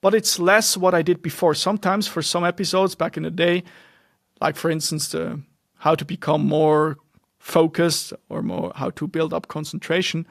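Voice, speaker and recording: A man's voice: fairly high pitch, steady tone, moderate pace, creaky, no room sound, studio quality. A young man from Switzerland, speaking English as an in an earnest, loosely structured solo podcast monologue. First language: German. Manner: earnest, loosely structured, frequent restarts and fillers. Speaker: podcast host.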